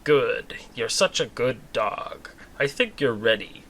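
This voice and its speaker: A man's voice: mid-range voice, expressive, slow pace, gravelly, a bit bright, a little hiss or hum, full character voice. A man voicing an eccentric, eager male alien scientist in a comic space story, with a grandly earnest way of speaking. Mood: pleased. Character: red long-limbed alien scientist, eccentric, eager, demanding.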